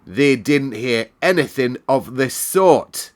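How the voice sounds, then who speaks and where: A man's voice: medium pitch, lively intonation, takes it slowly, voice pushed up. A man voicing a cross, bossy housekeeper woman in a storybook, scolding and commanding in rustic dialect wording.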